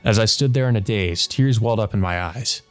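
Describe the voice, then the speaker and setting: A man's voice: lowish voice, a little fast. A man doing a let's play, reading a visual novel's narration aloud. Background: background game music.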